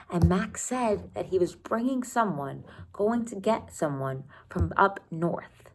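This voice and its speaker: A woman with a slightly low voice reads aloud as a teacher would from a children's novel. Her delivery is expressive and deliberate, setting out the story phrase by phrase. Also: slight background noise, rough recording.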